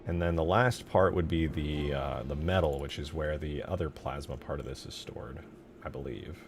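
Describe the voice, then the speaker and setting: A man giving casual livestream commentary as a game modder, informal and low-key, muttering to himself as he works. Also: normal speed. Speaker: game modder streaming his work. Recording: music behind the voice. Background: music.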